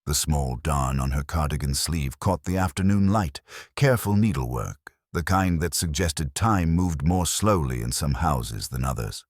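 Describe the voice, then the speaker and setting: Super deep husky guy narrating a mystery audiobook, dry understatement, voice swoops around. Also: lively intonation, normal speed, no echo.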